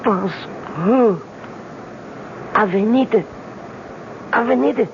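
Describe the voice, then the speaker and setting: An actor playing a dying foreign king in a radio drama, weak and gasping, urging in halting broken phrases. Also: high-pitched, vocal fry, full character voice. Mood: weak, urgent. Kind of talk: 1970s radio drama acting. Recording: muffled, noisy old radio broadcast.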